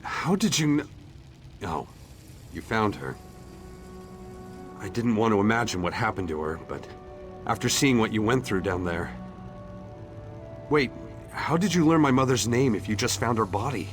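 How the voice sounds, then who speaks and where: A man's voice: fairly low pitch, sing-song, normal speed, long pauses. A man playing a wry, sceptical townsman in conversational video game dialogue. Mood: shaken, puzzled. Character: wry, sceptical male townsman seeking his fate. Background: faint game music.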